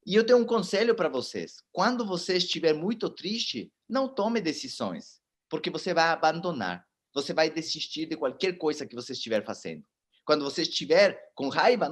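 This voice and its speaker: A man in his forties, not a native speaker of the language, gives a motivational talk. He tells his story with animation and speaks directly to his audience. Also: fairly high pitch. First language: not Portuguese.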